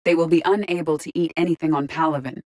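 Woman acting as a female android AI, low-pitched, measured, formal, analytical, detached, video game character. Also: fairly even intonation, a little mellow, close to an everyday voice. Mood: calm, analytical. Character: calm, analytical female artificial intelligence in an android body.

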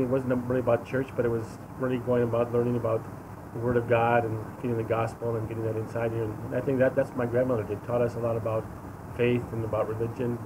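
American man in his sixties, noisy street. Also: medium pitch. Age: 60s. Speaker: interview guest giving testimony. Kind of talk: casual personal testimony. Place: outdoors against a sunlit wall. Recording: outdoor phone recording, clear traffic noise. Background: vehicle and street noise.

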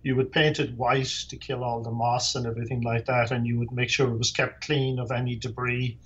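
A man's voice: mid-range voice, little pitch movement, a little fast, a bit bright, breathy edge, muffled recording. A middle-aged man with an Irish accent, a former lighthouse keeper answering interview questions in a plain, matter-of-fact way with dry humor. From Ireland.

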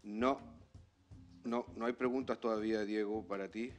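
A man hosting a conference Q&A in a relaxed, friendly way. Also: on the low side, moderate pace, soft background music. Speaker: event host. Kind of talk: casual moderation.

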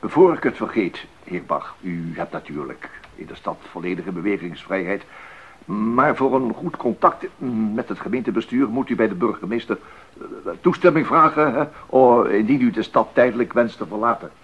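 A man playing a hesitant, officious church official in a Dutch radio drama, halting and formally polite. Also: lowish voice, slightly rough. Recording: dull 1980s radio recording.

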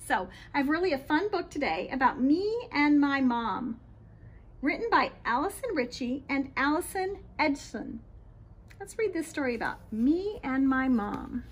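A middle-aged American woman reading a picture book aloud to young children in an expressive, sing-song way, outdoors on a patio. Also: sits high, measured pace. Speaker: children's read-aloud host, teacher. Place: small backyard patio, outdoors. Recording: light outdoor background noise.

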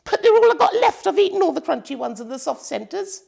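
A woman's voice: extremely high pitch, sing-song, brisk, creaky, a little mellow, voice pushed up, heavily acted. A woman voicing a greedy, lazy, sulky witch in a children's storybook, whining in colloquial speech. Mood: greedy, eager. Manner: colloquial, whiny, dropped words.

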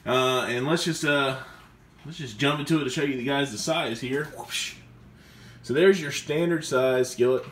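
A man casually reviewing a skillet while he cooks in his home kitchen, talking in a chatty, enthusiastic way with restarts and fillers. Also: mid-range voice. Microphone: camera mic, speaker off screen. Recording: echoey kitchen with faint background noise.

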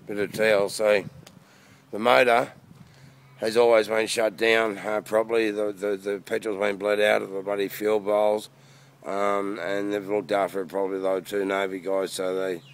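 Low, flat-toned Australian man. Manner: blunt, matey, slangy with mild swearing. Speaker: private seller showing his boat. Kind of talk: casual handheld video walkaround. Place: outdoors in a car park. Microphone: handheld phone. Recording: outdoor phone video with background noise.

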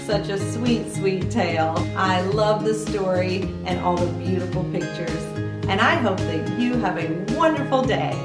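A middle-aged woman hosting a read-aloud for young children, speaking in an animated, carefully enunciated teaching style. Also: medium pitch, loud music behind the voice. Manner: animated, slow, careful enunciation, sounding out and spelling words. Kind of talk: storybook reading and interactive teaching for young children.

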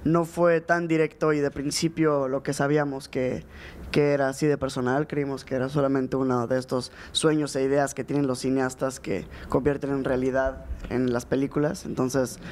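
A man's voice: mid-range voice, flat delivery, moderate pace, a little mellow. A young man with a Mexican accent giving casual, somewhat hesitant interview answers into a handheld microphone. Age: young adult. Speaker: actor being interviewed. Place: indoor festival interview booth. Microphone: handheld microphone held close. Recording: light ambient noise behind the voice.

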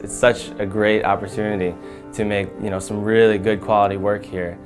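A young man with a Midwestern American accent chats in a relaxed way, full of fillers, in a fairly low voice. He was recorded in a studio room. Music plays clearly underneath. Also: a little fast. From Michigan, USA. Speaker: music student. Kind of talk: casual interview.

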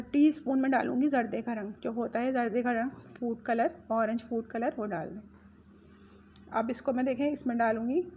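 Chatty woman with a higher voice. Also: a little mellow, a little hiss or hum. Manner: chatty, self-correcting on measurements. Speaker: home cooking channel host. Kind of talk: casual recipe walkthrough. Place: home kitchen.

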